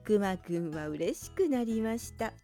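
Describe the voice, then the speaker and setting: Mellow, unhurried woman reading a storybook. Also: mid-range voice, expressive. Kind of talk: gentle children's picture-book read-aloud narration. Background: background music.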